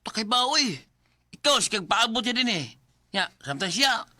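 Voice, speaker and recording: An outspoken Cebuano Filipino confidant in a radio drama. His voice is very bright and forceful, with lively swings of pitch and frank delivery. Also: moderate pace, dry recording, studio quality, full character voice. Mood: prodding.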